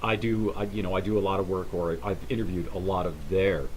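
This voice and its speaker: A man's voice: low-pitched, steady tone, a little hiss or hum, poor audio. A man in his fifties hosting a casual talk show interview, rambling and restarting his sentences. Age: 50s. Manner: rambling, halting with many restarts.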